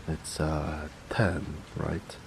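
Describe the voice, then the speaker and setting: An actor playing a jovial, affectionate big 1920s mobster with an American accent, in a folksy, teasing noir audio drama. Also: male voice, rumbling low, flat delivery, very slow, gravelly, background noise, full character voice. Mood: muttering, grumbling. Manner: folksy, teasing, chatty, chuckling. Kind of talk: noir romance audio roleplay, 1920s gangster slang. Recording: faint rain ambience behind voice. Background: rain.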